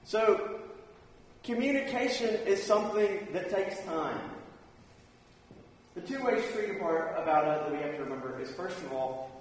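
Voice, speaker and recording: A man's voice: high voice, moderate pace. A man preaching a sermon in a plain-spoken, conversational, informal way. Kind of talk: sermon with personal anecdotes. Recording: echoey hall, distant mic, faint noise.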